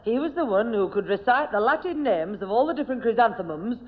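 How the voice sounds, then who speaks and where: A woman's voice: a little high, expressive, fast, close to an everyday voice. A woman playing a scolding but indulgent mother in a 1970 radio sitcom, bossy in manner. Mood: exasperated. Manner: bossy, scolding, broad comic delivery. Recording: noisy dull radio recording, studio audience, reverb.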